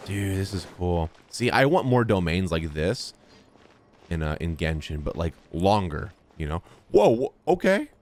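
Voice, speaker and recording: Low-voiced, animated male game streamer. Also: a little slow, slight background noise. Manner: enthusiastic, slangy. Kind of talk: casual gameplay commentary. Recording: game music behind voice. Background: game music.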